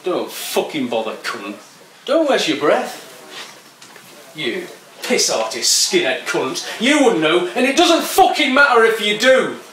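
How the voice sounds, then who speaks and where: A man voicing an aggressive, foul-mouthed young skinhead who sneers and shouts threats. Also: mid-range voice, very animated, slow, long pauses. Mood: jeering. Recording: echoey and distant with faint noise.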